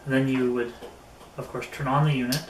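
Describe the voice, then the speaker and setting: A man giving a casual hands-on product review, speaking in a halting way with pauses mid-phrase. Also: medium pitch, level tone. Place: indoors in an echoey room. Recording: roomy echo, faint background noise.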